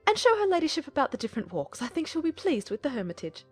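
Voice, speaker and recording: A woman's voice: sits high, very animated, fast, faint music behind. A woman playing a gushing, eager-to-please middle-aged mother in an audiobook drama, chatty and fawningly polite. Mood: eager, flattering.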